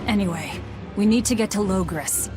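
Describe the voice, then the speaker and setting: A woman's voice: mid-range voice, moderate pace, over music, natural voice. An actress playing a blunt, vengeful young warrior woman in a video game, with a cool, commanding manner. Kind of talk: dramatic English game voice acting.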